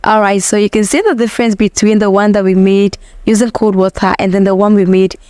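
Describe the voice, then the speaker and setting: A woman's voice: mid-range voice, fairly even intonation, a little fast, music in the background. Chatty woman teaching a home recipe. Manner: chatty and instructive, often addresses viewers as "guys". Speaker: hair-care vlogger. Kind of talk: casual home tutorial talking to viewers.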